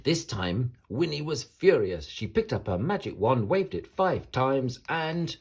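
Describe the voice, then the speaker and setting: A man reading a children's picture book aloud as an expressive, dramatic bedtime story narration, with a husky voice. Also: mid-range voice, measured pace, slight background noise.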